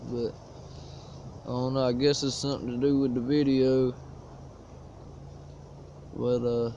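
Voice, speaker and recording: A man's voice: on the high side, dark tone. Laid-back man filming on a phone in the woods. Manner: laid-back rural drawl, folksy, coughs now and then. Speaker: outdoors vlogger. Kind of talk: casual show-and-tell vlog. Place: in the woods outdoors. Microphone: cell phone propped on a stick. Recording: dull lo-fi phone audio, faint hiss.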